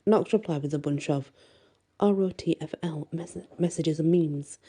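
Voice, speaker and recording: A woman reading a first-person bedtime story expressively, with a soft voice. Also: deep voice, normal speed, husky. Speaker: audiobook reader and storyteller.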